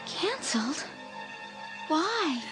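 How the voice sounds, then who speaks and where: An actress playing an excitable, frightened teenage girl with an urgent, emotional delivery in a dramatised audiobook. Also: extremely slow, soft background music, exaggerated voice. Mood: bewildered. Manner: breathless, urgent, highly emotional.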